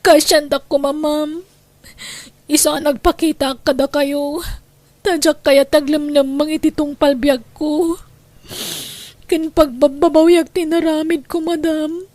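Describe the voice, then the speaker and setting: A woman acting as a young injured thief in an Ilocano radio drama. The voice is high and plaintive, full of remorse and pleading, in a dry recording. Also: flat delivery, measured pace, a little mellow, no room sound. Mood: pleading, remorseful. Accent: Filipino, Ilocano.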